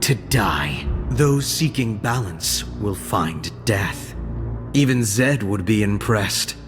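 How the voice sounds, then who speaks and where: An actor voicing a cold, arrogant young male shadow assassin in a game, menacing and measured. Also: fairly low pitch, lively intonation, over music. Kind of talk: game voice lines.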